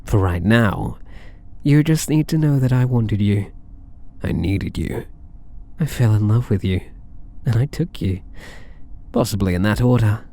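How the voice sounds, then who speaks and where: A man's voice: on the low side, expressive, warm tone. A British man playing a possessive, flirtatious alien catboy thief in an intimate ASMR roleplay, teasing and doting. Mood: playful, possessive. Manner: teasing, doting, purring endearments, unhurried.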